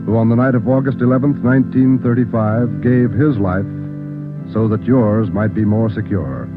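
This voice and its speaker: Solemn, lowish-voiced vintage radio announcer man. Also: moderate pace. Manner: solemn, formal. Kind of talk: 1940s radio announcing, solemn scripted narration. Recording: tinny 1940s radio, music behind.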